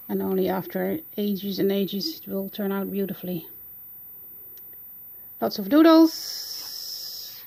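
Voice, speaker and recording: Slow, hesitant Dutch-accented woman. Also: mid-range voice, creaky, darkish tone. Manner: hesitant, searching for words. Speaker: art journaling creator. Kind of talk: casual flip-through narration.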